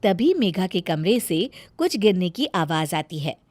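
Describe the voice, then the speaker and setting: A woman storyteller narrating a scripted moral tale in an expressive, deliberate way. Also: on the low side, no room sound, studio quality.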